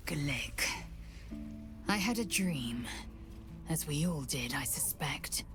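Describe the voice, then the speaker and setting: A proud, severe alien warrior woman from a fantasy game. Her voice is low and slow with a hushed, breathy edge, clipped and grave, over clear background music. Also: lively intonation. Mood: grave, suspicious. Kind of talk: video game character dialogue.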